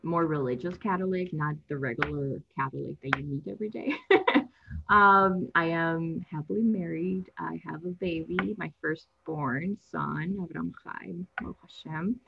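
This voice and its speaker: A woman's voice: low-pitched, moderate pace, creaky, background noise. A young woman, a native Spanish speaker, talking as a guest in a casual interview, a bit nervous, laughing and restarting her sentences. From Latin America. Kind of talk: conversational interview. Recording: dull lo-fi sound.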